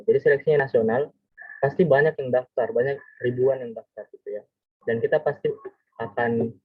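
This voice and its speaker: A man's voice: medium pitch, husky, no echo. A man giving informal, explanatory advice to students as a webinar speaker over an online call. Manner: conversational, often checks with gitu ya. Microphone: online video call. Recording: tinny phone-like video call audio.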